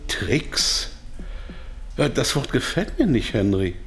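Proud, bitter captive man, swinging pitch. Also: sing-song, takes it slowly. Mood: indignant. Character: captive male industry doctor, proud, weary and bitter. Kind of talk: radio drama dialogue.

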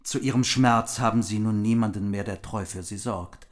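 An actor in a radio drama playing a dignified, loyal envoy who speaks in a courtly, grave way. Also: male voice, on the low side, steady tone, moderate pace, close to an everyday voice.

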